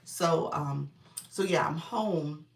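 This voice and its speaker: A congested woman in middle age chats casually with viewers on a live stream, calling out names and thanking them. Her voice sits low with some gravel in it. The mic is set back, so the room rings around her. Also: slow, far from the mic. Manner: greets viewers by name, chatty and upbeat. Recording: roomy sound, from off the mic.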